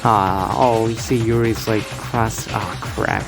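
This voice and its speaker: A man's voice: on the low side, steady tone, takes it slowly, gravelly. A man doing casual let's-play commentary as he plays through a visual novel. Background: loud game music.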